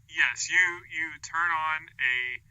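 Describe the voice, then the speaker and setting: A man's voice: fairly high pitch, fairly even intonation, slow, pushed and bright, clear, resonant tone, no room sound, poor audio. A man talking casually as a guest podcast creator in an interview. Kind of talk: casual chat.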